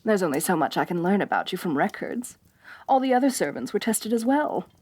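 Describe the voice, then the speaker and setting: A woman's voice: mid-range voice, big pitch swings, brisk, darkish tone, no echo. Haughty lamia mistress, played by a woman, close and dry. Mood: haughty, reassuring. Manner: haughty, domineering, talks down to the listener. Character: haughty rich female lamia, bossy mistress, slightly tsundere. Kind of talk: roleplay ASMR acting.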